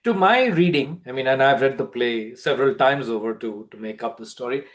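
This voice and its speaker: Conversational man, medium pitch. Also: fairly quick. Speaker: storyteller responding to comments. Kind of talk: casual discussion response.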